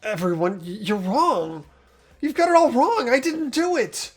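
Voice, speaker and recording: A woman's voice: a little high, lively intonation, measured pace, slightly rough, faint music behind. A woman playing an earnest, flustered teenage student in a game, stammering and pleading urgently. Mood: desperate. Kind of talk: hobby game voice acting.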